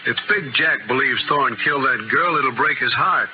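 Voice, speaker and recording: A man's voice: mid-range voice, lively intonation, moderate pace, lightly acted. An actor playing a cautious, sensible ranch foreman in a radio western, plainspoken, folksy and hesitant. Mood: somber. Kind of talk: 1950s radio western acting. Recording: noisy, muffled old radio broadcast recording.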